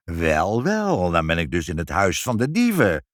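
A man voicing an excitable, accusing male busybody in a storybook, speaking in emphatic, agitated exclamations. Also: low-pitched, measured pace, dry recording, studio quality, exaggerated voice. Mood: triumphant. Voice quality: unpleasant, agitated voice. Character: excitable, triumphant, accusing nosy male neighbour.

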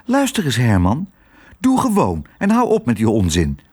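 A man voicing a spirited young girl in a children's story, speaking in a lively and direct way. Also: mid-range voice, moderate pace, slightly rough. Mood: bold, firm. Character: young girl, spirited, anxious, plain-spoken daughter.

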